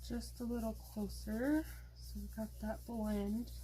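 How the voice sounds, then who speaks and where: A woman with a slightly high voice walks through a pastel blending demo in easy, chatty instructions. Her voice is going a little, and faint scratching of drawing tools sits behind her. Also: measured pace, a little hiss or hum, rough recording. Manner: relaxed, chatty instructions while working. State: losing her voice. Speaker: art tutorial host. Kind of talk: casual step-by-step craft demonstration.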